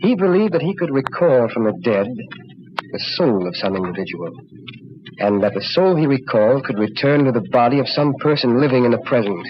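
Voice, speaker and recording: An actor playing a grave, cautious middle-aged doctor, explaining solemnly in 1940s radio drama style. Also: male voice, medium pitch, expressive, moderate pace, natural voice. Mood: solemn, ominous. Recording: muffled, noisy old radio recording.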